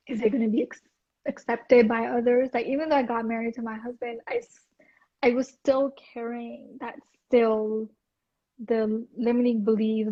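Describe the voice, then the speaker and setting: A woman with a soft voice, talking hesitantly with many restarts as a guest in a casual interview. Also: on the high side. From India. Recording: dull lo-fi audio.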